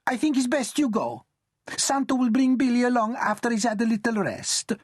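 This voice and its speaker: A tiny old Italian granny, acted out by a man, pitched very high and shrill. She is exuberant and bossy, full of warmth and fire, speaking broken English in a storybook reading. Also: lively intonation, normal speed, dry recording, studio quality. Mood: sharp, bossy. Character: warm, fiery tiny elderly Italian grandmother.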